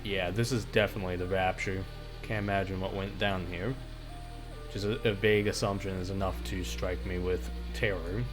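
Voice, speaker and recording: A man with a fairly low voice plays through a game casually over music. He reads on-screen text out loud and breaks off for offhand, muttered comments. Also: fairly even intonation, normal speed. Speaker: video game streamer. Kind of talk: casual let's play, reading game text aloud with asides.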